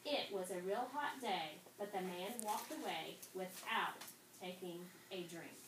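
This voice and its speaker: A woman teacher reading aloud and instructing a fifth-grade class, pausing to pose questions to her students. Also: on the low side. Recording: roomy, distant, noisy classroom recording.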